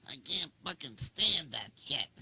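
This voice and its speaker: Profane ranting man, bright and edgy. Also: fairly high pitch, big pitch swings, measured pace, creaky, breathy edge. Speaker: channel host. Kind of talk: ranting casual monologue.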